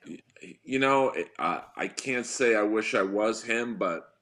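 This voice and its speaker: A man with a deep voice, a comedian guest telling wry, rambling stories in a casual podcast chat. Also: measured pace, fairly bright tone.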